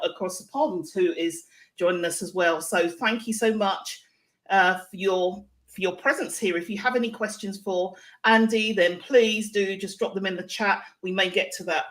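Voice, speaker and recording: A woman's voice: medium pitch, fairly bright tone. A woman hosting a live online interview show, speaking in a chatty, effusive and encouraging way. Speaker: show host.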